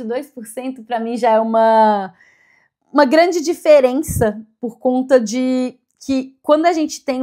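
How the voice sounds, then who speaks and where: A woman's voice: sits high, dark tone. A woman from Brazil giving a casual vlog update, chatty, with many fillers and self-corrections. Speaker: vlogger.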